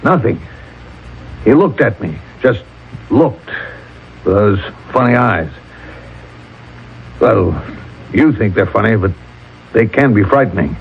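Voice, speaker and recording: An actor playing an anxious, guilt-ridden scientist in a radio drama, fretful, halting and emphatic. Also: male voice, fairly low pitch, animated, gravelly, a little hiss or hum, heavily acted. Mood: agitated, foreboding. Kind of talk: 1970s radio drama acting. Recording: dull old radio broadcast recording.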